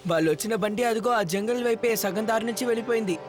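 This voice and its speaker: An actor playing a brave, confident young boy hero in a dubbed cartoon, quick and matter-of-fact. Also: high voice, lightly acted. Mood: urgent. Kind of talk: cartoon dub. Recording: cartoon with very loud music.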